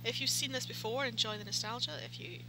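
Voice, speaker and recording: A woman's voice: a little high, steady tone, fast talker, heavy background noise. A woman giving casual, rambling, slightly hesitant commentary as she plays a video game. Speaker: gaming video host. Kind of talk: casual let's play commentary. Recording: loud game music behind voice. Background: game music and sound.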